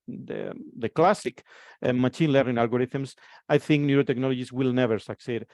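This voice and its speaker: A man's voice: medium pitch, normal speed, a little mellow, dry recording, studio quality. A man from Spain in his forties with a Spanish accent, giving a conference presentation and often repeating words as he restarts his phrases.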